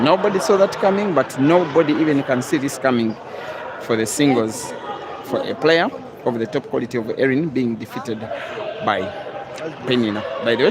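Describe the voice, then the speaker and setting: A man from East Africa giving live, measured commentary on a pool match, with sudden excited rises. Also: medium pitch. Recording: loud crowd and noisy outdoor venue.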